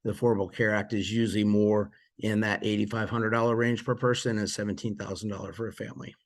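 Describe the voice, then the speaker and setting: A man casually walking through insurance plan details, a little hoarse and losing his voice, with frequent fillers and restarts. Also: on the low side, level tone, quick pace. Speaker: presenter explaining insurance plans. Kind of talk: casual explanatory walkthrough.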